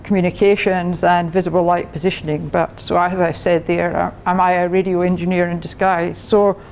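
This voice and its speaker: A woman's voice: fairly low pitch, little pitch movement, a little fast, gravelly, darkish tone, a little hiss or hum. Chatty Scottish woman giving a talk. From Scotland. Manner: chatty, laughs often, many restarts. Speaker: guest speaker, professor. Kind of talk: technical talk to a radio club.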